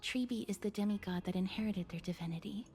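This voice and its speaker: An actress voicing a solemn, soft-spoken female figure in a fantasy video game, speaking formally and breathily. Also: mid-range voice, quick pace, faint music behind, lightly acted. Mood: solemn, explanatory.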